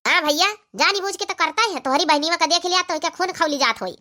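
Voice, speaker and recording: Woman as a high, sharp, spiteful cartoon in-law. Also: lively intonation, fast talker, heavily acted. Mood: snappish, complaining. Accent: Awadhi. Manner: complaining, snappish. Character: spiteful, complaining village women of a meddling in-law household. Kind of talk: comedy cartoon dialogue.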